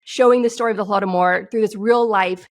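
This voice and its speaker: A woman's voice: mid-range voice, steady tone, fast talker. A woman guest on a podcast, telling a story in a passionate, outspoken way. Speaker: guest, screenwriter. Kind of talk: interview answers.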